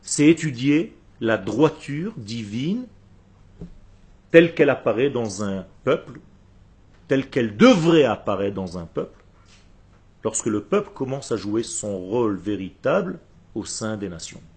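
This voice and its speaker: A man with a husky, mid-pitched voice lectures on religion. A Hebrew speaker, he teaches emphatically in short phrases, often posing rhetorical questions to his listeners. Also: very animated, a little slow, darkish tone. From Israel.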